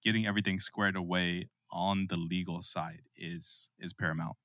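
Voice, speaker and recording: A man's voice: low-pitched, fairly even intonation, normal speed, a bit bright, no echo. A man interviewing casually as a podcast host, agreeable and affirming toward his guest.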